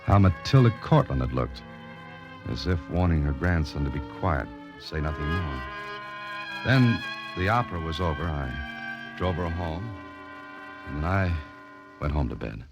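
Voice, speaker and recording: A man's voice: extremely low pitch, expressive, measured pace, pauses often, lo-fi sound, exaggerated voice. An American actor playing a tough, wry amateur sleuth who narrates his own case in a clipped 1940s radio mystery style. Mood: wry narration. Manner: clipped, wry, hard-boiled. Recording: old radio recording with music.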